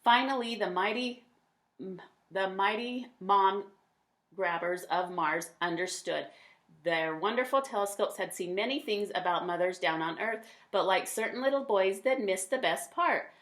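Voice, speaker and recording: A woman's voice: medium pitch, a little slow, fairly bright tone. A woman reads a children's storybook aloud with a mid-range voice. She narrates expressively, like a storyteller sharing a picture book with young listeners. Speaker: read-aloud storyteller, teacher.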